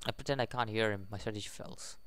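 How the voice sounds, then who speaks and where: Young man reading game text haltingly. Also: lowish voice, fairly bright tone, clear, resonant tone, dry recording. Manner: halting reading, stumbles over words. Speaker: gaming YouTuber. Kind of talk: casual let's play, reading game text aloud with commentary.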